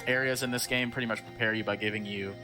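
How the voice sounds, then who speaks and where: A man's voice: on the low side, quick pace. Chatty male speedrunner over game music. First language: English. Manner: relaxed, chatty, frequent fillers. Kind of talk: casual commentary while playing.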